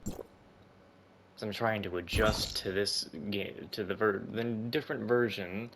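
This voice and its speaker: A man's voice: fairly low pitch, steady tone, slow, noisy background. A man giving casual, rambling gaming commentary, reacting aloud as he plays. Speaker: gaming YouTuber. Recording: loud game music behind commentary.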